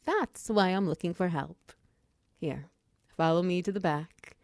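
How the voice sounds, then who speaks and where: A woman's voice: on the low side, unhurried, darkish tone, no echo, studio quality. A woman playing a friendly, flustered minotaur wizard who runs a potion shop, chatty and giggly in a roleplay audio drama. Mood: busy, apologetic. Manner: chatty, giggly, casual and conversational. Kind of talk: roleplay ASMR audio drama, addressing the listener.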